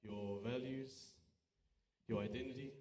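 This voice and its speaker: A man's voice: medium pitch, fairly even intonation, measured pace, a little mellow. A man speaks informally to a church congregation, giving a testimony in a conversational but emphatic way, repeating key phrases. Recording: roomy church echo, faint background noise.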